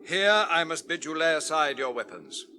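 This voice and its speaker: A man's voice: fairly high pitch, very animated, normal speed, over music. An actor playing a stern, dutiful palace guard in a British radio fantasy drama, formally proclaiming his words. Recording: faint background noise, radio drama.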